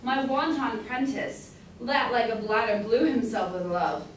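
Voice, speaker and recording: A woman's voice: fairly high pitch, a little slow, close to an everyday voice. Woman playing a blustering merchant father, very echoey. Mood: hearty, approving. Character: stern, haughty old merchant father, blustering and scheming. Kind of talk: staged reading of period stage comedy. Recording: echoey room from far off, faint noise.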